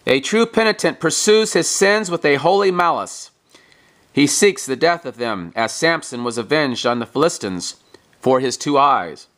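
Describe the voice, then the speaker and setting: A man reading a religious text aloud like a preacher, in deliberate, emphatic, declamatory phrasing. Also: fairly high pitch, expressive, a bit bright. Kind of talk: scripted devotional reading, sermon-like.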